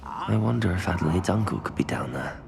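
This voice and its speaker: An actor playing a composed, polite and brave teenage wizard student in a video game. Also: male voice, low voice, lively intonation, brisk, gravelly, exaggerated voice. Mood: watchful. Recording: game music behind the voice.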